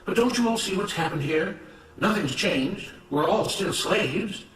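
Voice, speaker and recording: A man's voice: sits high, animated, unhurried, a bit bright, pitched above the performer's own voice. A man voicing a defiant enslaved plantation worker in a story, rallying others with emphatic, impassioned protest. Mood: indignant. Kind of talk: dramatized story reading. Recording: roomy, noisy, distant microphone.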